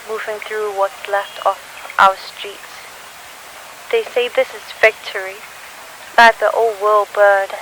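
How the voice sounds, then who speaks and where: A woman playing a weary, sorrowful teenager hiding in post-apocalyptic ruins, speaking a halting, solemn audio diary. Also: medium pitch, fairly bright tone, rough recording. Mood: bleak, weary. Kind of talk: scripted audio diary monologue. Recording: hissy recording with faint noise.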